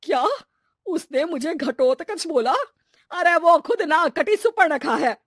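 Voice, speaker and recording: An indignant, quarrelsome mother-in-law voiced by a woman in a cartoon. Her voice is fairly high and dramatic, recorded dry and close. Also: lively intonation, a little slow, no room sound. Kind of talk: animated cartoon dialogue in Hindi.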